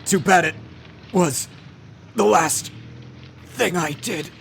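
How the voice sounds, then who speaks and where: A rueful, dying sidekick in a radio melodrama. The voice is weak, creaky and slow, with ambient noise behind it. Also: male voice, high voice, fairly bright tone, full character voice. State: weak, coughing, breathless. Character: wounded, rueful male sidekick fading away.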